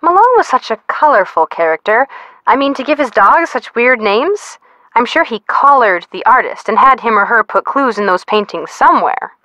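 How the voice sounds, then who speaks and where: A woman's voice: a little high, moderate pace, dry recording. A woman voicing a sassy, gushing young woman, the detective's chatty friend, in a video game. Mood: chatty, teasing. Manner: expressive, playful, gushing. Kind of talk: video game hint dialogue.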